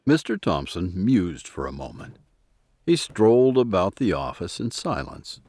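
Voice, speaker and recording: A man's voice: sits low, sing-song, measured pace, darkish tone, no echo. A man narrating a scripted audio drama in storytelling style.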